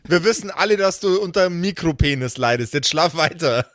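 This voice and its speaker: A man's voice: on the high side, lively intonation, a little fast, fairly bright tone, no echo, clean studio sound. A man playing in an improvised tabletop role-play, speaking casual, slangy, sweary dialogue with emphasis.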